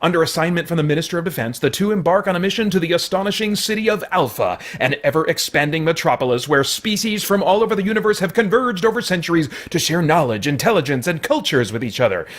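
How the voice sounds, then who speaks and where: A man hosting a casual spoken movie review, rambling along with jokey, animated asides. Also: a little high, fast talker, hardly any pauses. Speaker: film reviewer and host.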